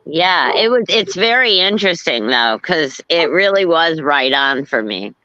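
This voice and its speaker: A woman's voice: low-pitched, big pitch swings, a little slow, bright, forceful tone, no echo. A woman chatting casually as a client on a video call, with dull, muffled sound. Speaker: client receiving a reading. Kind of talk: casual chat.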